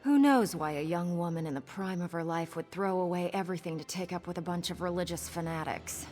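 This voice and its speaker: A woman's voice: fairly low pitch, a little fast, darkish tone, in a large hall, faint music behind, natural voice. An actress playing a scheming, cynical pirate woman in a video game, with a sardonic, conspiratorial manner. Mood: bitter, matter-of-fact. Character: scheming roguish female pirate, sly and cynical. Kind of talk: video game character dialogue.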